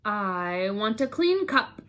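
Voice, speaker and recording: A woman's voice: mid-range voice, sing-song, takes it slowly, a bit bright. A woman voicing an eccentric, mournful male hatter in a children's storybook, with a theatrical and emphatic delivery. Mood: contrary. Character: mad, mournful male hatter, eccentric tea-party host.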